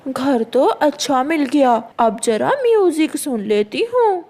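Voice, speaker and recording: A woman's voice: high-pitched, lively intonation, slow, dark tone, heavily acted. A woman voicing a confident, blunt female eagle in a cartoon, with an assertive manner. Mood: content. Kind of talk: Hindi cartoon dialogue.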